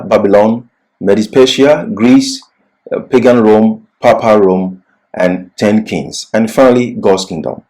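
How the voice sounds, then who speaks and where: A man's voice: fairly low pitch, a little mellow. A man giving an expository Bible lecture as a teacher, didactic and leaning on key words.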